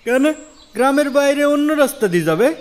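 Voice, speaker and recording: Male actor as obstinate village landowner, very high pitch, rapid speech, dismissive, cartoon dialogue. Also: animated. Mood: stubborn, dismissive. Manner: argumentative, dismissive. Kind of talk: Islamic moral cartoon dialogue.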